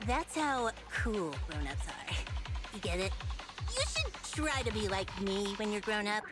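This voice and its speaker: A woman's voice: mid-range voice, big pitch swings, unhurried. An actress playing a feisty, cocky but caring young woman in a video game, brash and self-assured. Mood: confident, cocky. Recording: loud game music behind the voice.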